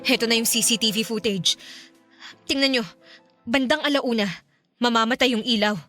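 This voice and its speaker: A woman's voice: a little high, moderate pace, over music, lightly acted. An actress playing an anxious young woman being stalked, in an emotional Filipino radio drama style. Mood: tense.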